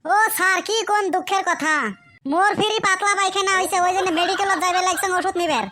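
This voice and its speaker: A man's voice: extremely high pitch, steady tone, fast talker, pushed and bright, a little hiss or hum. A man voicing a cheeky, scheming village son in a comic cartoon. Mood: whining excuses. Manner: cheeky, argumentative.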